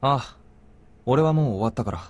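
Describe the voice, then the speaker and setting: A man's voice: medium pitch, lively intonation, very fast, a little mellow, no room sound, close to an everyday voice. A man voicing a blunt, protective young man in a visual novel, terse and matter-of-fact. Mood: low-key. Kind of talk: Japanese visual novel voice acting.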